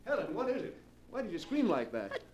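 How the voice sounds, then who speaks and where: An actor playing a concerned, insistent husband in a 1940s radio drama, earnest and questioning. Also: male voice, high-pitched, huge pitch swings, brisk. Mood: puzzled.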